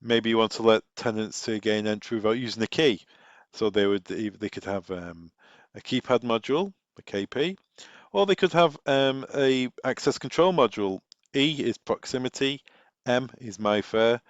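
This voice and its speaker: A man's voice: mid-range voice, moderate pace. A man presenting informal product training, explaining things step by step through practical examples. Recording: echoey room, dull muffled sound.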